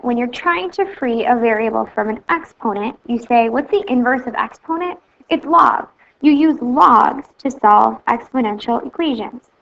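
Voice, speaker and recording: A woman teacher explains math in a recorded lesson. She speaks plainly and steadily, one step at a time. Her voice is a little high with noticeable vocal fry, and the recording sounds slightly muffled. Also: a bit bright.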